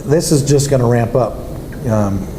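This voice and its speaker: A man's voice: mid-range voice, a little slow. A man co-hosting a gun range talk show, explaining points patiently and urging listeners to get involved. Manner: agreeable, explains and urges listeners. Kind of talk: casual chat. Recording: echoey room with faint noise.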